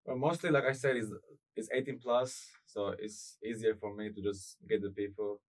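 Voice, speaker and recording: A young man speaking English as a second language in an Albanian accent, his voice sitting fairly low. He talks quietly and casually as a radio guest, letting sentences trail off and stumbling over repeated words. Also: steady tone, moderate pace, far from the mic. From Greece and Albania. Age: young adult. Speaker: event promoter and DJ guest.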